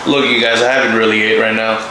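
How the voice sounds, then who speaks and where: A man's voice: lowish voice, flat delivery, fast talker. A man in his twenties chatting casually to camera as a channel co-host, joking and slangy. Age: 20s. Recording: roomy echo, clear background noise.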